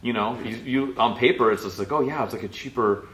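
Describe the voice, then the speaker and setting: A man's voice: medium pitch, fast talker, fairly bright tone. A man co-hosting a podcast, talking casually with lots of fillers and restarts. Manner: rambling, many fillers and restarts. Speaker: podcast co-host. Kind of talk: casual chat. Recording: roomy echo.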